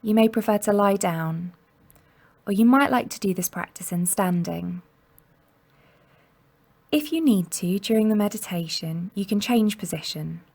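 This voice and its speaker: A woman guiding a meditation in a gentle, soothing and unhurried manner, leaving long pauses between instructions. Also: mid-range voice, lively intonation. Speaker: mindfulness meditation guide. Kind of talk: guided body scan meditation narration.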